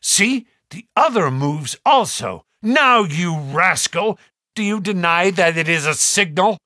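A man's voice: mid-range voice, takes it slowly, pushed and bright, no room sound, studio quality. A man voicing a stern, commanding baronet. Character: stern, direct aristocratic gentleman.

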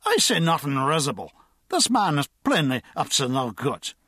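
A man's voice: on the high side, big pitch swings, normal speed, sharp tone, slightly rough, dry recording, clean studio sound, pitched above the performer's own voice, exaggerated voice. A man voicing a blustering, red-bearded drunk with a Dublin accent, slurring pompously and hiccuping. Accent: Irish, Dublin.

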